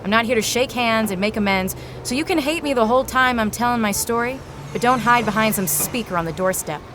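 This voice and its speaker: A woman's voice: fairly high pitch, fairly quick, noisy background, lightly acted. An actress playing a hard-drinking, wisecracking, world-weary female private eye in a noir detective audio drama. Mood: defiant, wry. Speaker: lead actor in a science fiction noir audio drama.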